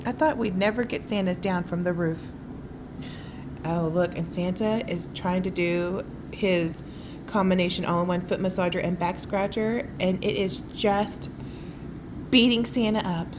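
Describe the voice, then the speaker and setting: A woman reading a children's story aloud in a casual, expressive way, with conversational asides. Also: fairly low pitch, a little slow, noisy background. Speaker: storytime reader.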